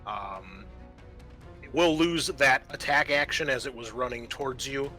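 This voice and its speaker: A man with a bright, clear-cut voice runs a tabletop roleplaying game. He describes scenes and explains rulings, pausing as he works them out. Music plays softly behind him. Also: mid-range voice. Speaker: game master.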